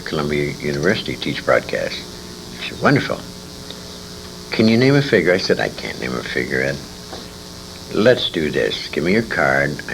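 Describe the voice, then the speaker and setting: A man in his sixties telling stories in an interview, speaking emphatically and dramatically with pauses. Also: very deep voice, creaky, fairly bright tone, low-quality recording. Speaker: interviewee, veteran radio actor. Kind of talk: conversational storytelling in an interview. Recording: hissy, humming 1980s amateur convention tape.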